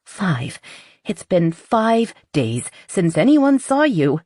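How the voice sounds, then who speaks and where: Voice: medium pitch, sing-song, slow pace, a little mellow, dry recording, clean studio sound, voice pushed up. A narrator voicing a talkative, exasperated young woman with a haughty but playful streak in an audiobook. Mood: indignant. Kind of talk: audiobook character dialogue.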